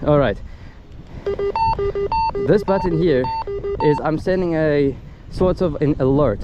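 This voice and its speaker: A man's voice: fairly high pitch, takes it slowly, lo-fi sound. A man giving a casual hands-on product demonstration, explaining things step by step as he goes, recorded outdoors. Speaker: gadget reviewer. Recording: loud wind and outdoor ambient noise.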